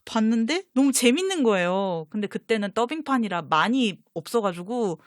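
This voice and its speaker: Female interview guest, enthusiastic casual chatter, fairly high voice, very animated delivery. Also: normal speed, no echo, studio quality. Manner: chatty, enthusiastic fan talk. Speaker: guest fan.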